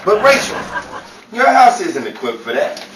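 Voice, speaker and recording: A man acts out an excitable, nervous buddy from an old-time radio comedy. The voice is high for a man and swings widely in pitch. It sounds roomy and distant, with animated, theatrical delivery. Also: normal speed. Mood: uneasy. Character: Mason, jittery excitable male buddy dodging trouble. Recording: roomy, distant, noisy amateur radio play.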